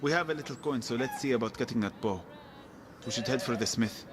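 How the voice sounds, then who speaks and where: A man's voice: medium pitch, fast talker, background noise, lightly acted. An actor voicing a wary, dryly wry male travelling companion in an audio adventure game. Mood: matter-of-fact. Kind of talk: video game voice acting. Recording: reverberant hall sound with music behind.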